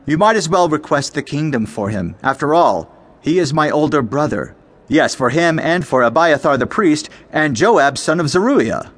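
A man's voice: fairly high pitch, very animated, normal speed. A man playing a stern young king in a dramatized scripture recording, speaking with solemn, emphatic authority. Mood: indignant, resolute. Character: stern, commanding young king passing judgment. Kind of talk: dramatized scripture audiobook acting.

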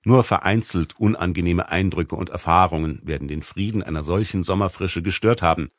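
Male audiobook narrator, low voice, wry and articulate, dry close sound. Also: brisk, no echo. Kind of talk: scripted literary narration.

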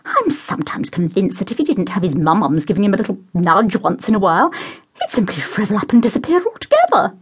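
Shrill, squealing posh mother, meddlesome and gushing; very high and swooping. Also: wildly swooping pitch, fairly quick, husky. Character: gushing meddling upper-class mother, middle-aged.

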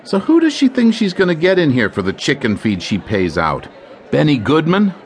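An actor playing a scheming male jazz clarinetist in an audio drama, smooth-talking and sly. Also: on the high side, wildly swooping pitch, moderate pace, some chatter behind. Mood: sardonic. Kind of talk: radio drama acting.

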